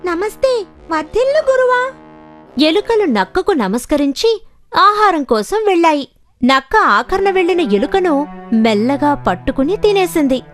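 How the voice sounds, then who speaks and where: A woman tells animal fables for children in a scripted storytelling style. Her delivery is lively, with faint music playing behind her. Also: high voice, normal speed.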